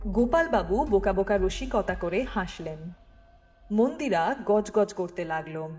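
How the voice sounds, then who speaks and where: Female audiobook narrator, medium pitch, scripted dramatic storytelling, faint background music. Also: animated, a little slow, a little mellow.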